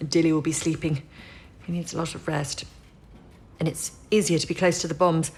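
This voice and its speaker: An actress playing a steady, supportive senior wartime codebreaker, composed and matter-of-fact, in an audio drama. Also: female voice, low-pitched, moderate pace. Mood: warm.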